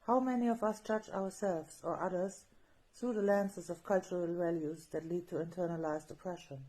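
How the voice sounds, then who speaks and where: Woman reader, calm and steady, low mellow voice, read-aloud speech. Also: rough recording. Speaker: organizer reading part of a speech.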